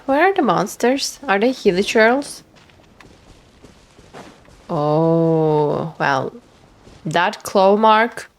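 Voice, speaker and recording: A woman streamer giving casual live gameplay commentary, reacting aloud to the game. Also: mid-range voice. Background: faint game music.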